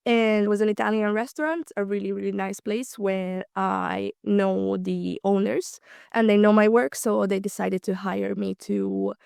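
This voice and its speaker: A woman's voice: mid-range voice, fairly even intonation, a little slow, gravelly, a little mellow, clear, resonant tone, no echo, studio quality. A woman in her twenties from Slovakia, a native Hungarian speaker, chatting casually as a podcast guest with frequent ums and light laughs. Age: 20s. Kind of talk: casual conversational interview.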